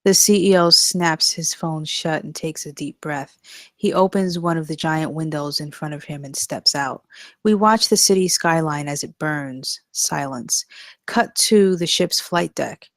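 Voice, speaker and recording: A woman's voice: low-pitched, unhurried, no echo. A woman reading out scripted stage directions as narrator at a table read.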